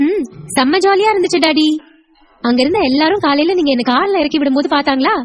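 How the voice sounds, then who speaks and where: A woman voicing an eager, excited little schoolgirl in a chirpy, childlike way for an audio story. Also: sits high, fast, voice pushed up, natural voice. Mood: excited, happy. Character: excited little schoolgirl doting on her daddy. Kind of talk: Tamil audio story acting.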